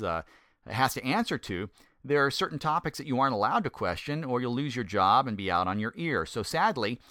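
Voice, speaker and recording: A middle-aged man, a former pastor, giving a conversational Bible teaching in a folksy, self-deprecating way and slowing down to stress key points. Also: medium pitch, sharp tone, no room sound, clean studio sound.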